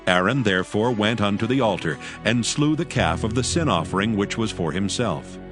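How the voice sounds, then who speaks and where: A man narrating scripture in a steady, reverent, measured way for a dramatized audio Bible. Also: lowish voice. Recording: narration over clear music. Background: music.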